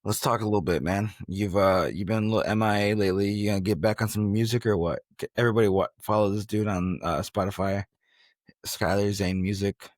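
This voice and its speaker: A man with a deep voice hosts a casual sports podcast. He talks in loose, slangy banter like he is among buddies, and the sound is close and dry. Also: fairly even intonation, brisk, no room sound. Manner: slangy, bro-ish banter. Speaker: podcast host.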